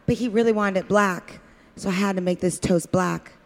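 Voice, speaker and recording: A woman's voice: medium pitch, steady tone, moderate pace, lightly acted. A woman improvising a flustered, chatty, eager-to-please diner waitress in a comedy parody of a radio play. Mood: chatty, nervous. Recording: reverberant hall sound.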